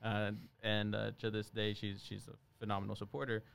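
Young male guest from Brazil, Portuguese-native, low gravelly voice, earnest talker. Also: completely flat delivery, a little fast, fairly bright tone. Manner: earnest, chatty with frequent laughs. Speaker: guest, medical student. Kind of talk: casual conversation.

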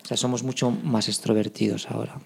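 A man's voice: medium pitch, flat delivery, fast talker, gravelly, breathy edge. A man with a Spanish accent from Barcelona, a band member explaining himself emphatically in a casual interview. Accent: Spanish, from Spain.

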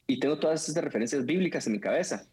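Husky-voiced male preacher chatting. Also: on the high side, expressive, very fast, darkish tone. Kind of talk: casual conversation.

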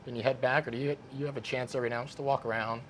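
A man hosting a casual interview podcast, talking in an animated, self-deprecating, conversational way. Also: mid-range voice, racing, slight background noise, low-quality recording. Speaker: podcast host, play-by-play sportscaster.

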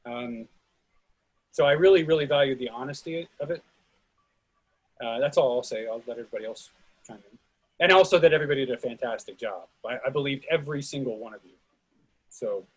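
A middle-aged man giving informal feedback on a play reading in a halting, thoughtful, sincere way. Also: medium pitch, slightly rough, rough recording.

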